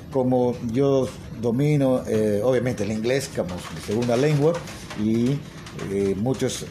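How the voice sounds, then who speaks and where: A man talks casually into a phone held at arm's length in a small room. He teaches languages and hesitates a lot, filling pauses and tagging questions onto his sentences. A steady background hiss runs under the recording. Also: medium pitch. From Peru. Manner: hesitant, many fillers and tag questions. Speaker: English teacher and language school owner. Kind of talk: casual selfie video talk.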